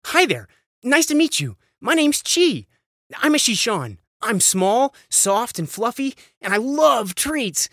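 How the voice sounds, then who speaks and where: A small fluffy pup, pitched way up and swooping with excitement. The delivery is sweet, cute and eager to please, in a dry, close cartoon sound. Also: male voice, takes it slowly, no room sound, heavily acted. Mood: cheerful, eager. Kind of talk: character voice demo.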